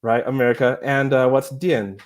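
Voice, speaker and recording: A man telling a lively, self-mocking story on a video call, acting out scenes, speaking through an earphone mic in a small room. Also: mid-range voice, moderate pace, a little hiss or hum. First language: not Chinese. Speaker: Chinese teacher, guest.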